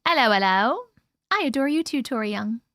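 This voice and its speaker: A woman's voice: a little high, lively intonation, a little fast, a bit bright, no echo, studio quality. A woman hosting a live stream from home, chatting effusively with viewers and reading their stories aloud. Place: at home with her cat.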